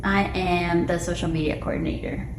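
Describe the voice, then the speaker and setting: A woman's voice: fairly low pitch, flat delivery, brisk. Cheeky young woman in her twenties. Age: 20s. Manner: cheeky, blunt jokes. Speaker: social media coordinator, guest. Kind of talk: casual podcast chat. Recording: echoey room, background noise.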